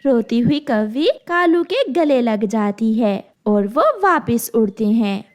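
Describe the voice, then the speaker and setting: A woman's voice: a little high, lively intonation, slow pace, a little mellow. A woman narrating a scripted children's cartoon tale as its storyteller.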